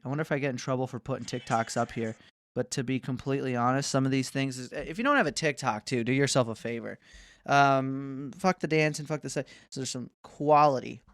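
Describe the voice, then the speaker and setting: Brief: man in his twenties, casual rambling vlog rant, heavy swearing, smooth clean tone, close and dry. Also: medium pitch, steady tone, a little fast, dry recording. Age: 20s. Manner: profane, rambling, swears often; mocking, bragging, jumps between asides. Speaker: vlogger.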